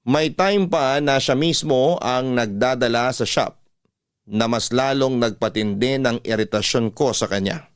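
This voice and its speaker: A man's voice: mid-range voice, measured pace, clear, resonant tone, dry recording, studio quality. A man with a Filipino accent narrating a scripted first-person story as a radio drama host.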